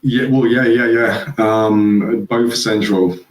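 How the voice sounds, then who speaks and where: A man's voice: fairly low pitch, steady tone, slow, echoey room, rough recording. A man from England, a guest, chatting casually and rambling through his childhood stories. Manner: rambling, laughs at own stories. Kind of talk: casual chat.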